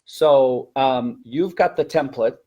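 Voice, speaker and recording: A man with a medium-pitched voice leads a teaching webinar in a conversational way. He explains methods like a working teacher, repeating key words for emphasis. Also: takes it slowly, dark tone. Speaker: language teacher and trainer.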